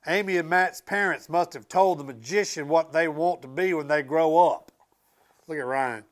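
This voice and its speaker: A man reading a picture book aloud to children in an expressive, emphatic way, with casual asides to his young listeners. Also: a little high, moderate pace. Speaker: school transportation director reading aloud to students.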